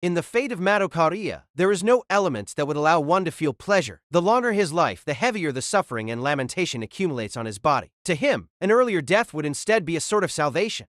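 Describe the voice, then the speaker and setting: A man plays a stern and humble clergyman in an audio drama. His male voice is slightly high with a mobile melody, recorded close and dry. The delivery is formal, grave and self-controlled. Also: big pitch swings, fast, few pauses, fairly bright tone, dry recording. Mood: grave insistence. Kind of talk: audio drama character acting.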